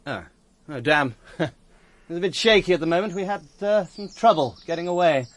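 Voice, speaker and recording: A man playing an excitable, boastful, unhinged man on the run in a theatrical radio drama. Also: a little high, moderate pace, no echo, close to an everyday voice. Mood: breezy, boastful. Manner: excitable, theatrical, swinging between bluster and chuckles.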